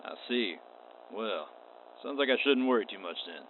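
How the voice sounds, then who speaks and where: A man's voice: fairly low pitch, lively intonation, normal speed, pitched below the performer's own voice, close to an everyday voice. A man playing a courteous, unflappable wandering space cowboy in a radio play, with a laconic drawl. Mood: calm, cautious. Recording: tinny band-limited audio with clear noise.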